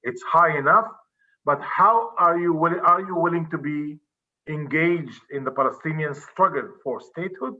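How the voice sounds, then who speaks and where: A man's voice: fairly high pitch, normal speed, phone-quality sound. A man from Israel, giving an earnest, explanatory talk over a video call. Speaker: presenter, director of a civic center.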